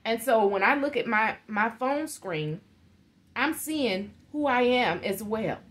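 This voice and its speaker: A woman's voice: on the high side, lively intonation, takes it slowly, a bit bright. A woman in her thirties giving an earnest motivational talk as a guest on a live video call from her living room. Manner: earnest, gestures while talking, laughs often. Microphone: webcam on a video call.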